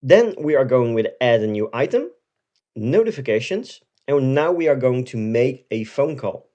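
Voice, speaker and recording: A man in his forties presenting a software tutorial step by step, often checking in as he explains. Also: mid-range voice, very animated, moderate pace, dull audio. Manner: explanatory, step by step, with frequent "right?" check-ins.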